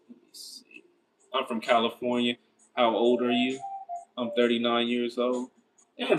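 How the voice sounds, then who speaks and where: Man, 30s, livestream host: casual and slangy, reads chat, voice jumps in pitch. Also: low-quality recording. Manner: loose and slangy, with rhetorical why-questions and quick pitch jumps. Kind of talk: casual livestream chat, reading viewer comments.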